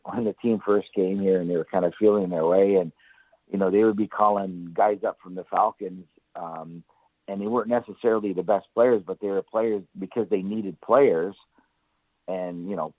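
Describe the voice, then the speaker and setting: Deep-voiced man on a muffled phone line. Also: dry recording. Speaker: guest sports analyst on a call-in. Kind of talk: sports interview analysis. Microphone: phone call-in. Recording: muffled narrow-band phone call, radio-like.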